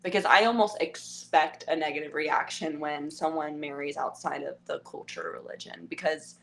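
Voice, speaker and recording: A woman from the United States hosting a casual podcast chat, quick to react and agree. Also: deep voice, a little hiss or hum. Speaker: podcast host.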